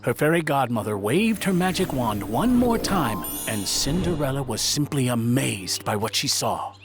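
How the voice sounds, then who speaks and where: A man narrating a scripted children's fairy tale in an expressive, dramatic storytelling manner. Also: medium pitch, normal speed. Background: music.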